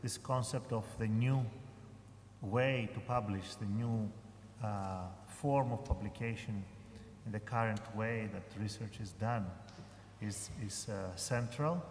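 A man's voice: on the low side, steady tone, unhurried. A man speaking as a professor on a conference panel, hesitant and full of fillers and restarts. His voice rings out with the long reverb of a large hall, with a faint hum of room noise underneath.

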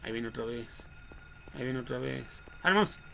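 Young male Mexican streamer, jokey and crude, fry-heavy voice, casual play-by-play over faint noise. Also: mid-range voice, moderate pace, fairly bright tone. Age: young adult. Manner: slangy, foul-mouthed, jokey. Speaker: livestream gamer. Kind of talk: casual game livestream commentary.

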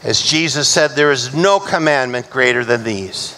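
A middle-aged male pastor delivering a measured, emphatic sermon from a church pulpit. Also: mid-range voice, pushed and bright. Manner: measured, emphatic preaching, slowing for recited scripture.

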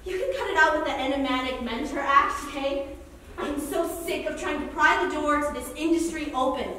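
Woman playing frustrated defiant young theater maker, stage reading, very high pitch, distant echoey recording. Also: brisk, sharp tone, slight background noise. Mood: fed up. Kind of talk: staged play reading, argumentative monologue. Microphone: far camera.

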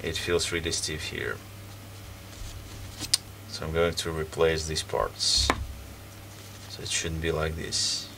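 Low slow gravelly guy, fixing stuff on a bench, lots of ums, mic kinda far off with background noise. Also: little pitch movement. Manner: hesitant, many ums and restarts while working. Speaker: repair video host. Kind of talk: casual hands-on repair commentary. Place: workbench, indoors.

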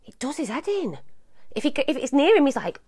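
Woman, thirties, loud, animated, wide-ranging pitch, slightly high voice, casual advice talk at home. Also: brisk. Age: 30s. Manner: animated, loud, jumps between topics. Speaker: advice show host. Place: at home, walking through a child's room.

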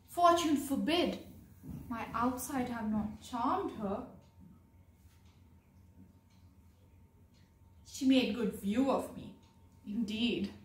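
High, slow, theatrical Shakespearean heroine played by a girl. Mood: puzzled. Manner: theatrical, deliberate, with long dramatic pauses. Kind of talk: Shakespearean stage monologue. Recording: echoey room, distant microphone.

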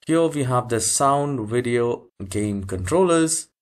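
A man hosting a step-by-step software tutorial, speaking in an instructional way and repeating key steps. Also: mid-range voice, lively intonation, slow pace. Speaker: tutorial host.

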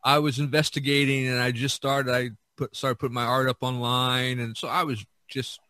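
A man's voice: medium pitch, moderate pace, dry recording. A middle-aged man telling stories casually as a podcast host, rambling and often repeating himself. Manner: rambling, repeats himself, animated when quoting others. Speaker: podcast host, visual artist. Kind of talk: casual storytelling in conversation.

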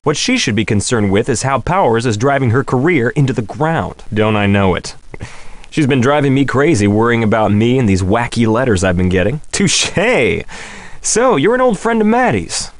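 Casting: male actor as vain soap heartthrob for a mystery game. Mid-pitched, lively, suave flirt, close dry sound. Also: moderate pace, no room sound, close to an everyday voice. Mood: flirtatious, cocky. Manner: cocky, teasing, suave flirting. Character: vain, flirtatious soap opera heartthrob, smooth-talking charmer. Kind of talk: video game character dialogue.

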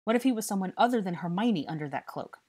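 A woman's voice: mid-range voice, expressive, quick pace, darkish tone, slightly rough, pitched above the performer's own voice. A woman voicing a stern, furious young male investigator who scolds and interrogates with exasperated force in an audiobook story.

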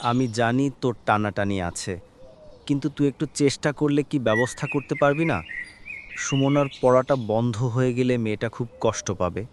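A man's voice: mid-range voice, brisk, noisy background, natural voice. Weary, pleading middle-aged father, acted by a man. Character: troubled, humble middle-aged father begging his sister for help. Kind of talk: cartoon drama dialogue.

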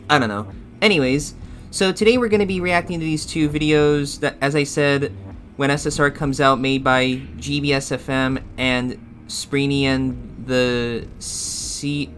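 A man's voice: mid-range voice, a little slow, fairly bright tone, natural voice. A man voicing a deferential, slightly unsure shadow bear sidekick who gives reaction commentary. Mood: obliging, unsure. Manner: deferential, hesitant, obliging.

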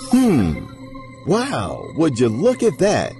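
A man's voice: medium pitch, huge pitch swings, slow, exaggerated voice. A man playing a whimsical, curious fellow musing to his toy pet in an audio drama. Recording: loud music over the voice.

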